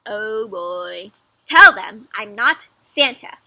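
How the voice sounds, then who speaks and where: A woman's voice: a little high, wildly swooping pitch, normal speed, creaky, pushed and bright, dry recording, full character voice. A woman voicing a grumpy, exasperated male bear from a storybook, with growling, emphatic protests. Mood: weary, dismayed.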